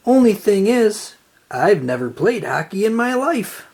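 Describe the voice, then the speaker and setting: A storyteller voicing a cheerful, eager young sponge fry cook in a children's storybook, exclaiming excitably. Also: medium pitch, a little slow, voice pushed up, exaggerated voice. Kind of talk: children's storybook read-aloud.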